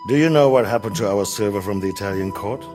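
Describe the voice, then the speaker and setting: A man's voice: fairly low pitch, fast, darkish tone, faint music behind, natural voice. A man playing a furious, foul-mouthed medieval king in a video game cutscene, imperious and sarcastic. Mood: cold anger. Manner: imperious, sarcastic, erupting into bellows.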